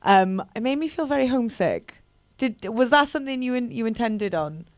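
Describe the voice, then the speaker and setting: A Welsh woman from near Cardiff, a journalist hosting a festival Q&A, chatty and quick to laugh and tease. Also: a little high, animated, no echo. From from just outside Cardiff. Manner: giggly, chatty, teasing. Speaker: journalist, Q&A host. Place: cinema Q&A stage.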